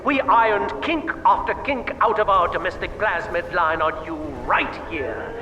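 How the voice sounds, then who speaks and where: A man's voice: sits high, animated, normal speed, distant mic, muffled recording. An actor playing a deranged, pompous corporate boss in a video game, theatrical and taunting like a showman. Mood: showman patter. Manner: pompous, theatrical, swooping between murmur and shriek. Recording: roomy, echoing sound under loud music.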